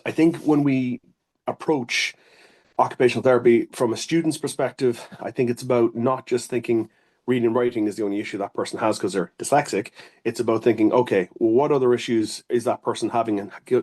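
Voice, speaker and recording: Irish male therapist, mid-pitched voice, talks earnestly, rambles and restarts, interview guest. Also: steady tone, brisk, darkish tone. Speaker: occupational therapist. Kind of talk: conversational interview answers.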